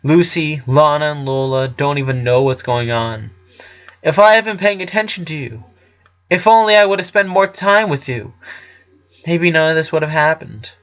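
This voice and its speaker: A man voicing an earnest eleven-year-old boy in an audio story, guilt-ridden, gentle and pleading. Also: a little high, normal speed, muffled recording, close to an everyday voice. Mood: remorseful.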